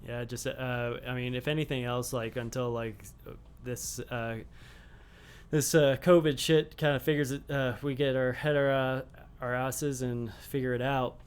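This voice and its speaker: A man's voice: medium pitch, flat delivery, moderate pace, smooth. A man hosting a casual podcast, rambling with lots of fillers and casual swearing. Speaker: podcast host.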